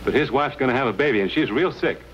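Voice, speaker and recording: Man playing frontiersman, dry, polite but defiant, animated pitch, muffled vintage western audio. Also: fast, lightly acted. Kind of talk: 1950s television western acting. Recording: muffled, hissy old TV soundtrack.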